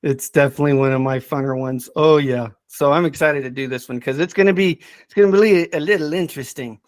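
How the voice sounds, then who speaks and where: A middle-aged man chatting casually as a podcast's subject expert, thinking aloud in a halting way with repeated words. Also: a little high, no echo, clean studio sound. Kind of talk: casual chat.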